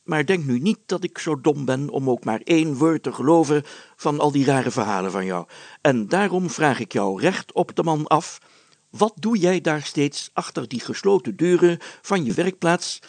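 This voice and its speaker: A man's voice: on the high side, animated, normal speed, a little mellow, dry recording, pitched above the performer's own voice. A man voicing a pompous, jovial village mayor who speaks emphatically and hems solemnly in a storybook reading. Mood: stern, confidential.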